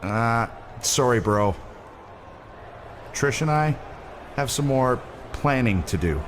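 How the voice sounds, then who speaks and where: Slow, smug wrestling villain. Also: male voice, mid-range voice, animated. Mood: dismissive. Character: smug, dismissive young man wrestling villain. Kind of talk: scripted video game cutscene acting. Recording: clear ambient noise behind voice.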